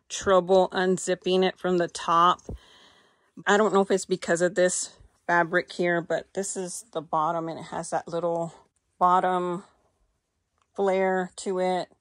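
American woman doing a chatty try-on vlog on her phone in a closet, slow easy pace, dry close sound. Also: lowish voice, no room sound. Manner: chatty, unscripted, addressing viewers directly. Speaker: fashion vlogger. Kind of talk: casual haul and try-on chat. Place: small indoor walk-in closet. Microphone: handheld phone.

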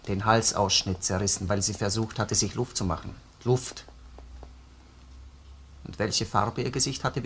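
An actor playing a dry, courteous yet probing Austrian police detective in a crime drama, with measured ironic politeness. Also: male voice, lowish voice, vocal fry, a bit bright, slight background noise. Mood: probing, grave. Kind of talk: crime radio play acting.

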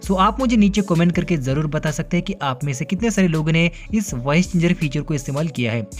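A man's voice: a little high, expressive, fast talker, faint music behind. Upbeat male Hindi tech reviewer. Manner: upbeat, repeats filler phrases, mixes English words into Hindi. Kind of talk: phone feature walkthrough. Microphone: off-camera voice-over over hands-on phone footage.

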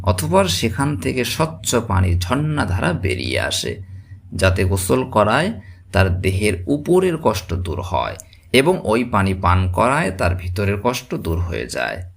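Male religious storyteller. Gravelly tone, widely swinging intonation, solemn scripted preaching. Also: lowish voice. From West Bengal, India. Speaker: narrator.

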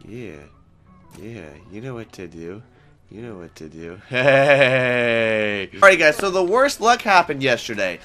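A man's voice: mid-range voice, sing-song, unhurried, pushed and bright, soft background music. Congested young man ranting over gameplay. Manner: rambling, swears often, frustrated outbursts. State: congested from a cold. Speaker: gaming channel host. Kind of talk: casual gameplay commentary. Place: small home room. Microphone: headset.

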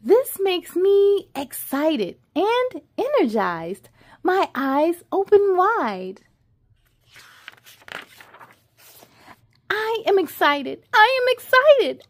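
A little storybook girl full of big feelings, acted out by a woman reader. Her voice is very high and swoops widely, and she draws out her words slowly and expressively. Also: full character voice. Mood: excited. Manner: slow, exaggerated, expressive delivery. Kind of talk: children's storybook read-aloud, acted out.

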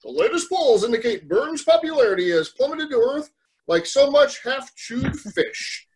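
A woman acts out an excitable news reporter in a cartoon read. Her voice is high and swoops around briskly on a video call. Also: a little hiss or hum, low-quality recording. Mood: newsy. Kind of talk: amateur cartoon table read.

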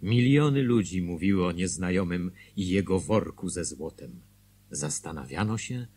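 A man playing a measured storyteller, narrating a scripted radio drama in a husky voice. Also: low-pitched, darkish tone, full character voice.

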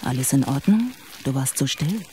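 Low-key wry detective, noisy background. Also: female voice, lively intonation, normal speed. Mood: teasing, intimate. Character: self-assured, teasing female London police detective. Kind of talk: naturalistic German radio drama acting.

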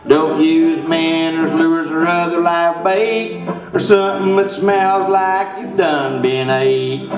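Slow, sung male jingle with guitar. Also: fairly high pitch, steady tone. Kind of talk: sung folksy comic advertising jingle. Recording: echoey, muffled stage recording. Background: acoustic guitar.

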